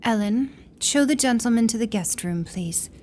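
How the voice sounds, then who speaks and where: A woman's voice: a little high, expressive, normal speed, darkish tone, close to an everyday voice. An actress playing a courteous, fearful lady of the manor in a radio drama. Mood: pleading, subdued. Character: gentle, dutiful mistress of the manor, fearful wife. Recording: faint background noise.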